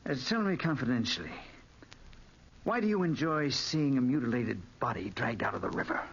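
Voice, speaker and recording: An actor playing a reckless, tipsy American gambler, flippant and theatrical, in a 1940s radio play. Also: male voice, medium pitch, animated, normal speed, vocal fry, a bit bright, breathy edge, exaggerated voice. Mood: woozy. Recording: muffled, noisy 1940s radio recording.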